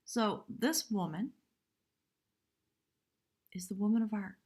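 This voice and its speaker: Hoarse, husky woman reading tarot. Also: medium pitch, takes it slowly, a little mellow. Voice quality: husky, breathy. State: hoarse from much talking. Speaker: tarot reader, live stream host. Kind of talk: live tarot reading, intuitive spiritual commentary.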